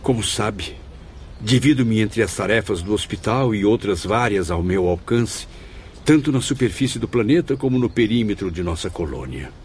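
A man's voice: on the low side, lively intonation, normal speed, darkish tone, a little hiss or hum, natural voice. An actor playing a melancholy, guilt-ridden male spirit in a radio drama, with solemn, literary Brazilian diction. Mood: self-reproachful.

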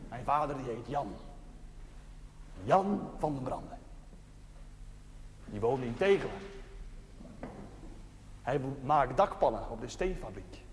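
A high-voiced preaching chaplain, echoing hall. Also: male voice. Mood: fervent, solemn. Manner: slow, emphatic, with pauses. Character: earnest, playful, plain-spoken village chaplain preaching to parishioners. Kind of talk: sermon in a television drama. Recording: noisy, echoey church-like hall.

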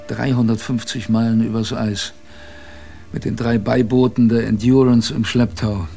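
Slow, gravelly old adventurer looking back. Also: male voice, medium pitch, mellow. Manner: dramatic storytelling with weighted pauses and rhetorical questions. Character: older man recalling his youthful Antarctic adventure, wry. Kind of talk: first-person radio drama narration.

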